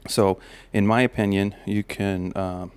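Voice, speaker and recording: Deep, flat-voiced man musing aloud. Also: measured pace, no echo. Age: adult parent of a daughter. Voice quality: slight gravel in the voice. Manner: relaxed, musing, many fillers and restarts. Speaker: podcast host. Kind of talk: reflective personal monologue.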